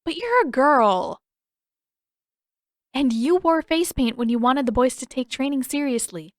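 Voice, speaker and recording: A woman's voice: high-pitched, lively intonation, a little slow, no room sound, pitched above the performer's own voice. An indignant teenage warrior boy acted by a woman narrator in an audio story. He whines and sputters his protests, and the sound is very dry.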